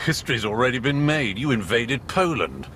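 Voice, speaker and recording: An actor playing a hard-bitten British army major in a radio drama, with a dry, sardonic, clipped manner. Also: male voice, medium pitch, sing-song, moderate pace, fairly bright tone, slight background noise. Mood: sardonic, firm.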